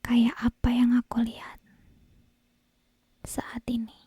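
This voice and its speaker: Woman acting a slow, whispering obsessive yandere girl. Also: a little high, completely flat delivery, no room sound, exaggerated voice. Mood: scornful, possessive. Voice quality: breathy, hushed whisper. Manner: slow, lingering phrases broken by pauses. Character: obsessive, possessive yandere kidnapper girl, lovesick and menacing. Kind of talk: ASMR roleplay, intimate slow whispering. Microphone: close binaural microphone.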